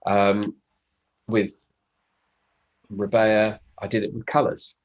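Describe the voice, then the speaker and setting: A man with a stuffy cold, explaining his work in a relaxed podcast chat with fillers and restarts. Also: lowish voice, takes it slowly, dull audio. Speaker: guest, pickup designer. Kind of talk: casual podcast conversation.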